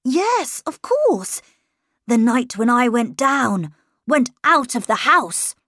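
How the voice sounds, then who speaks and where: A woman's voice: on the high side, big pitch swings, slow, fairly bright tone, dry recording, studio quality, voice pushed up, full character voice. A woman voicing a polite, charming young boy who knows he has the upper hand, in an audiobook reading. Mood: polite, insistent. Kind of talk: audiobook character voice.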